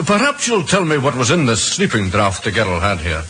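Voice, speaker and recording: A man's voice: mid-range voice, huge pitch swings, normal speed, sharp tone. A male actor playing a brusque, probing police sergeant in an old radio drama, commanding and full of questions. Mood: brisk, probing. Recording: hissy old radio recording.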